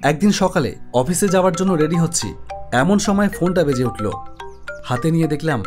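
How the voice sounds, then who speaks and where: Male actor as office-worker narrator for animated horror tale, slightly high voice, suspenseful storyteller, music underneath. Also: moderate pace, natural voice. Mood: reflective narrating. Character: male office web developer narrating his ghost story. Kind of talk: scripted first-person horror story narration. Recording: music behind the voice.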